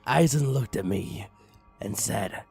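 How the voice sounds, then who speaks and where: Male narrator, lowish creaky voice, mock-dramatic comedic horror reading, faint music underneath. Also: expressive, slow, darkish tone. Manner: crude, mock-dramatic, swooping emphasis. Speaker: narrator, online story reader.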